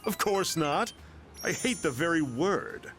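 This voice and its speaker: A man's voice: fairly high pitch, very animated, slow pace, fairly bright tone, faint music behind, exaggerated voice. A man playing a smug, boastful middle-aged businessman with an oily manner in a video game. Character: smug, greedy middle-aged businessman flaunting his wealth. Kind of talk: theatrical video game monologue.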